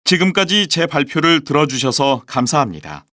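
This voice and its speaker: A man giving a formal class presentation, read aloud in an even, careful way for a textbook listening recording. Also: on the high side, normal speed, no room sound, studio quality.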